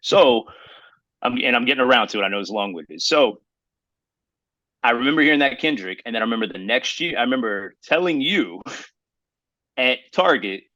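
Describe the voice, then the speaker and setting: Bright-voiced man telling rambling stories. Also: mid-range voice, lively intonation, moderate pace, pauses often, no room sound, poor audio. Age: 30s. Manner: rambling, emphatic storytelling with slangy phrasing. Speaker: podcast co-host. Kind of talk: casual podcast chat about hip hop.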